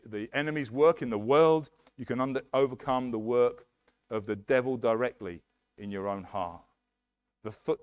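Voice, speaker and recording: Earnest middle-aged preacher, dry sound. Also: male voice, medium pitch, darkish tone, no room sound. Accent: English. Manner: earnest, emphatic preaching with hand gestures at a lectern. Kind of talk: sermon. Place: church hall, medium size, indoors. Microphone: headset mic.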